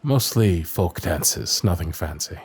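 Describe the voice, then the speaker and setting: Man performing a dutiful young knight, lowish soft voice, lively intonation, formal and hesitant, romantic fantasy audio drama. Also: takes it slowly, soft background music. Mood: shy, explaining. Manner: formal, courtly, tender, hesitant phrasing. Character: gentle, dutiful young male royal guard knight courting a princess.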